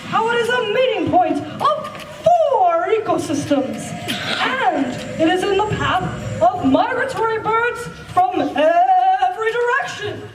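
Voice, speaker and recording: A woman playing an eccentric, eager time-travelling scientist in an amateur stage radio play, with a theatrical, exclamatory delivery. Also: very high voice. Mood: welcoming, eager. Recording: echoey stage, distant mic, music behind.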